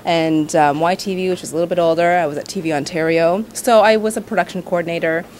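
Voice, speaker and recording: A woman in her thirties with a low voice answers interview questions as a TV host. She talks in a relaxed, chatty way, often saying "you know" and "um". A faint noise sits behind her. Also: fast talker. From Canada, Nova Scotia.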